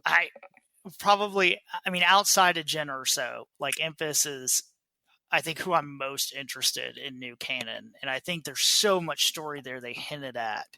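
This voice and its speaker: A man's voice: a little high, moderate pace, vocal fry, sharp tone, no echo, poor audio. A man co-hosting a podcast in a casual, playful and joking way. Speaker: podcast co-host. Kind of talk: casual book club podcast chat.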